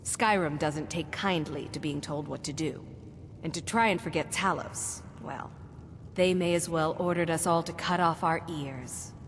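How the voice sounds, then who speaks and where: A solemn fantasy game priestess, devout and matter-of-fact. Her voice sits a little low and rings in a hall, with faint rumbling noise behind it. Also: measured pace. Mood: matter-of-fact, indignant. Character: earnest, devout woman priest of an outlawed god. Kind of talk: fantasy video game acting.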